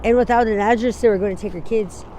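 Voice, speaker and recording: A woman in her forties from Wyoming, telling her story in a halting, rambling way during an outdoor street interview. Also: medium pitch, dark tone, slight background noise, low-quality recording. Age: 40s. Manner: rambling, halting, laughs easily.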